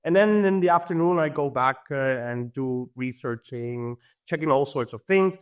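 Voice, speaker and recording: A man's voice: mid-range voice, lively intonation, normal speed, clear, resonant tone. A man, a podcast guest talking in an easygoing way with frequent chuckles and fillers. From Germany. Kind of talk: casual interview talk.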